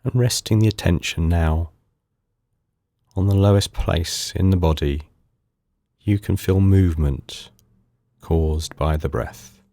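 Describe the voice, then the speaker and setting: Super deep male voice doing guided meditation, slow soothing phrases w pauses, really dry sound. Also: no echo. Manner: calm, unhurried, soothing instruction in short phrases with pauses. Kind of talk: guided body scan meditation.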